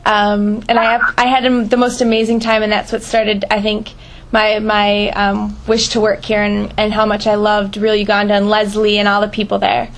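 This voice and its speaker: A woman's voice: medium pitch, completely flat delivery, moderate pace, low-quality recording. A woman hosting an informal conference call, giving advice in an encouraging, enthusiastic way. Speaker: host mentor on a volunteer conference call.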